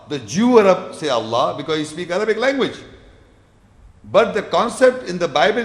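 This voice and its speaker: A man lecturing and answering audience questions, explaining patiently and repeating words as he builds his sentences. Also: a little high. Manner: recites scripture verses in Arabic. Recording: echoey lecture hall.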